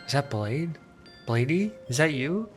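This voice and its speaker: A woman's voice: low-pitched, sing-song, faint music behind. A woman game streamer reacting casually to a playthrough in a playful, jokey way. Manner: playful, jokey, quick to giggle.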